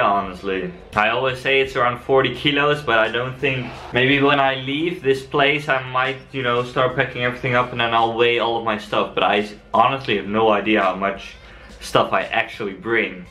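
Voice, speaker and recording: A young Dutch man with a Dutch accent, answering viewer questions in a relaxed, chatty vlog. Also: medium pitch. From Netherlands. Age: young adult. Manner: relaxed and chatty, frequent fillers. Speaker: cycle-touring vlogger. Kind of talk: casual vlog Q&A.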